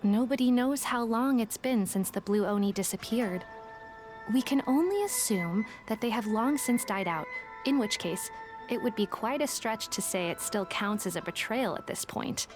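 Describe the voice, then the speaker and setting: A woman playing a calm, shrewd young woman in a video game, speaking in a measured, matter-of-fact, dry way. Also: fairly high pitch, close to an everyday voice. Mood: composed. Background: game music.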